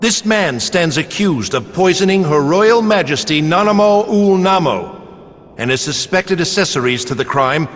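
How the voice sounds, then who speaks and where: Smug officer, swooping delivery. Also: male voice, sits high, animated, moderate pace, fairly bright tone, big-room sound. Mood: formal accusation. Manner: formal, declamatory. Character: smug, cold officer announcing charges. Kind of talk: dramatic fantasy game voice acting.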